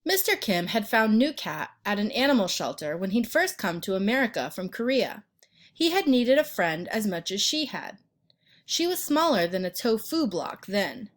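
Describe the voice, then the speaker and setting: Female storyteller, very animated pitch, mid register, reading a picture book to young listeners. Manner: pauses to ask the listener questions and point out pictures. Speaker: read-aloud storyteller. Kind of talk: expressive children's picture book narration.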